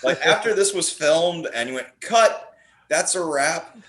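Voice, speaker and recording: A man's voice: on the high side, animated, a little slow, a bit bright, a little hiss or hum. A man talking casually as a podcast guest, rambling and jokey in the way he tells things. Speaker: guest, horror playwright. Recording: roomy, echoey sound.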